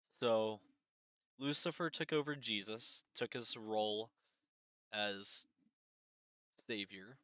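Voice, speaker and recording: A man hosting a reaction video with casual unscripted commentary, halting and repeating his phrases. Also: lowish voice, pushed and bright, smooth, no room sound.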